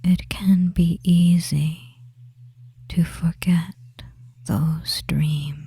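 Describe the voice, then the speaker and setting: A performer voicing a devious male witch in a soothing, breathy ASMR hypnosis whisper, pausing between short phrases. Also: medium pitch, fairly even intonation, mellow, heavily acted. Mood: soothing, hypnotic. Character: devious male witch lulling a captive, soft and controlling.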